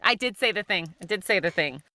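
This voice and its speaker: A woman's voice: medium pitch, animated, brisk, sharp tone, no echo. A woman hosting a casual podcast chat with playful, teasing banter, often checking in with "right?" and "you know". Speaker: podcast host.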